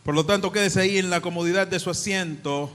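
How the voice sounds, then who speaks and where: A man's voice: high-pitched, fast, a bit bright. A man from the Dominican Republic with a Dominican accent, preaching a sermon to a congregation, praying, reading scripture aloud and speaking chattily between readings. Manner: prays and reads scripture aloud, then talks chattily to the congregation. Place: church hall. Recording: long church hall reverb.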